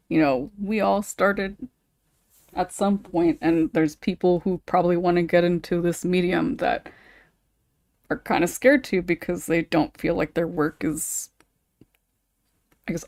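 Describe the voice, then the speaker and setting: A woman with a somewhat low voice hosts an informal podcast conversation. She talks off the cuff with a tongue-in-cheek touch, and the recording is dry, free of room sound. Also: darkish tone, no room sound. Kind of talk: casual group chat.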